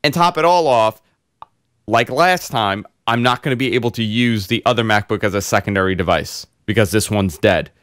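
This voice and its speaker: A man hosting a casual tech podcast, hoarse and congested, telling long rambling stories full of fillers. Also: fairly low pitch, normal speed, no room sound, studio quality. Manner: rambling, animated storyteller with many fillers. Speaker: host.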